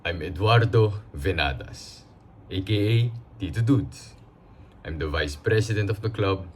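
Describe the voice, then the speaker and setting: A Filipino man playing a drily joking retired health official of seventy-three in an amateur dramatic reading, with deliberate delivery. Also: low-pitched. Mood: dry, deliberate. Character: 73-year-old male retired health official, drily joking club vice-president. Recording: faint background noise.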